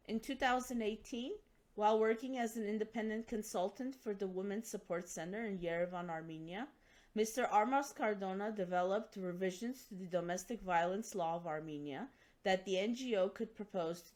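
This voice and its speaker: A woman hosts an interview with a formal, measured delivery. She sits at a middling pitch and enunciates carefully, as if reading from prepared notes. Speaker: interview host. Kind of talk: formal scripted introduction and interview.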